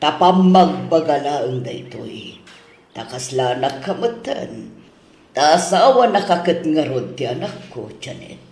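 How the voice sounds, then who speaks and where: Man acting a slow-talking, nagging elderly mother-in-law. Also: on the high side, lively intonation, darkish tone. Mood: scolding. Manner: slow, admonishing, drawn-out motherly speech. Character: nagging, caring older mother-in-law, strict but generous. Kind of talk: Ilocano audio soap drama acting. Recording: roomy, echoing studio sound.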